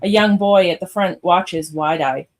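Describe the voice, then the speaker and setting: A woman's voice: lowish voice, measured pace. A woman narrator reading screenplay stage directions aloud in a scripted live read.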